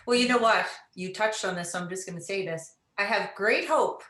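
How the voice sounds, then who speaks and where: A woman's voice: mid-range voice, brisk, a bit bright. A woman hosting a casual conversational interview, recorded with a roomy, echoing sound. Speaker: interviewer, podcast host.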